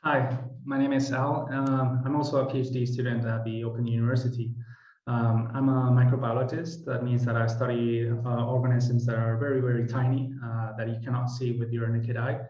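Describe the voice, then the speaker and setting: A man microbiology PhD student speaking in a flat, level voice. He sounds distant from the mic in an echoey room. The sound is dull and lo-fi. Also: medium pitch, normal speed. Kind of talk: brief self-introduction.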